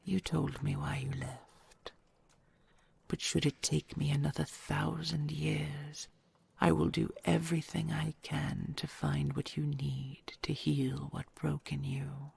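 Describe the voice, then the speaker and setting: A woman playing an ancient dragon queen, a tender and possessive lover, in an intimate romantic audio roleplay, whispery and hushed with formal archaic phrasing. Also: deep voice, level tone, takes it slowly, heavily acted. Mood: tender, devoted. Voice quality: breathy, whispery.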